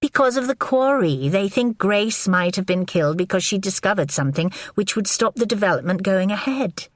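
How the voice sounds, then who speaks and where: A woman reading the part of a brisk, biting woman confronting her lover in an audiobook. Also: medium pitch, lively intonation, no echo, pitched above the performer's own voice, lightly acted. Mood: tense. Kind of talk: audiobook character voice.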